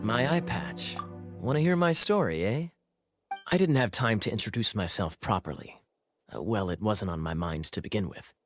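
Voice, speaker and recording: A man voicing a suave, teasing cavalry captain in a video game, smooth and theatrical. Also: on the low side, animated, moderate pace, soft background music, close to an everyday voice. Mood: playful.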